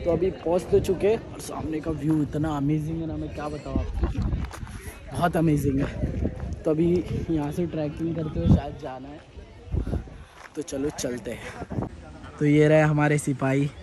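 A man's voice: fairly high pitch, steady tone, moderate pace, long pauses, noisy background. Upbeat young man vlogging a forest trek. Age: young adult. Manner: chatty, enthusiastic, talks to camera while walking. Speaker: travel vlogger. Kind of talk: casual trekking vlog. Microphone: phone at arm's length.

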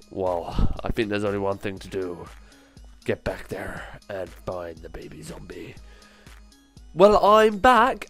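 A man with a cold, sounding nasal, giving excitable commentary as he plays through a survival game, full of quick exclamations. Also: lowish voice. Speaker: gaming channel host. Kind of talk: gameplay commentary.

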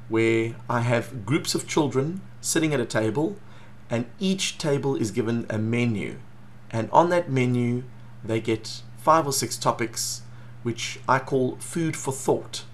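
A middle-aged man with a South African accent, an educator giving an earnest, steady talk to a webcam in a small home office. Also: mid-range voice, slight background noise. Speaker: educator presenting. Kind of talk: scripted talk to camera.

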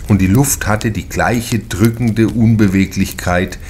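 A man reading a crime novel as an audiobook narrator, in a measured, deliberate manner with careful pauses. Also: fairly low pitch, fairly even intonation, darkish tone, a little hiss or hum.

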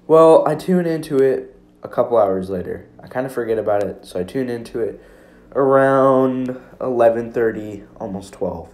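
A young American man giving rambling, informal commentary over a screen recording in an earnest way. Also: mid-range voice. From Minnesota, United States. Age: teenager or young adult. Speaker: amateur video maker. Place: room at home. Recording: roomy, echoey home recording.

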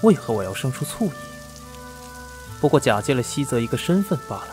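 A man acting an aloof, possessive immortal lord in a fantasy audio drama, cool, measured and sure of himself. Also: medium pitch, big pitch swings, over music, lightly acted. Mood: proud, assured.

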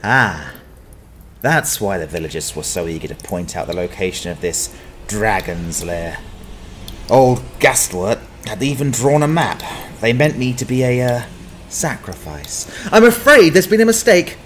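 Man acting a hapless, animated adventurer. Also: fairly low pitch, measured pace, slight background noise. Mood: nervous bluffing. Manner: muttering to himself, stammering, sardonic. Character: hapless, wry male down-at-heel adventurer. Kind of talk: dramatized fantasy audiobook acting.

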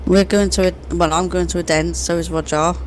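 A woman's voice: on the low side, steady tone, fairly quick, a little hiss or hum. A woman from Wales with a Midlands accent, chatting casually while streaming a driving game with friends, teasing and laughing often. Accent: English Midlands. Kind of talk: casual gaming chat.

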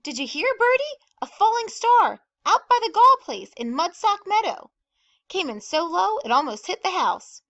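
A woman voicing excitable small-town gossips in a storybook, exclaiming breathlessly and emphatically as they spread exaggerated news. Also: extremely high pitch, normal speed, dull audio, voice pushed up, full character voice. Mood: excited. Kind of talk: animated storybook character reading.

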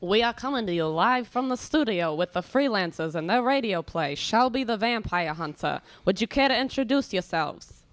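A woman's voice: mid-range voice, lively intonation, moderate pace. A woman hosting a live student show and introducing the performers. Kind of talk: live presenting.

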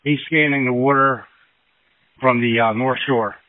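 Man, medium pitch, fire officer, emergency traffic, narrowband two-way radio. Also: fairly even intonation, unhurried, dry recording.

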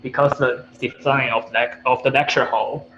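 A man's voice: mid-range voice, fairly even intonation, normal speed. Young guy, easygoing, muffled laptop mic with background hum. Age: young adult. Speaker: co-speaker. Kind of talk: casual chat. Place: lecture hall. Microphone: laptop on a video call.

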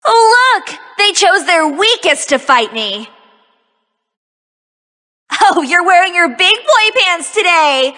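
A woman's voice: very high voice, very animated, slow pace, long pauses, sharp tone, long reverb tail, full character voice. A woman voicing a young magical-girl archer heroine for a video game, cocky, peppy and teasing, with sassy, theatrical delivery. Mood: cocky, mocking. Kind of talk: video game character voice lines.

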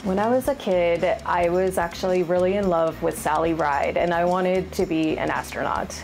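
A woman in her forties from Rhode Island, a county government affairs manager answering quick interview questions in an earnest, plain-spoken way. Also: fairly low pitch, gravelly, a little mellow. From Rhode Island, USA. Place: office, indoors. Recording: background music underneath.

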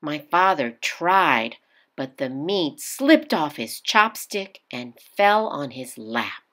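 A woman reads a children's picture book aloud as a storyteller, with deliberate, emphatic phrasing. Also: low-pitched, lively intonation, fairly bright tone. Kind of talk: expressive children's read-aloud narration.

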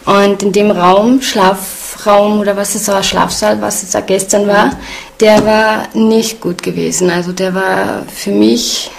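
A young woman recounts her impressions in an unscripted interview. Her voice sits at a medium pitch with marked creak, and faint background noise is present. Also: flat delivery, a little slow. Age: young adult. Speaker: self-described psychic. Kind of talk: spontaneous interview account.

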